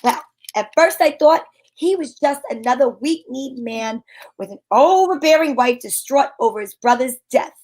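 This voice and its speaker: A woman playing a sharp-witted, wisecracking private detective in an old-time radio drama, talking in breezy slang. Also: high voice, animated, moderate pace, rough recording. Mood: wry, explaining.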